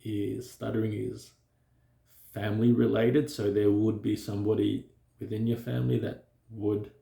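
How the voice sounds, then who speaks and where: A man's voice: lowish voice, little pitch movement, moderate pace, creaky, mellow, roomy sound. A man who stutters, talking reflectively to his viewers in a hesitant way, with many fillers and restarts. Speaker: vlogger. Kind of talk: reflective personal talk to camera.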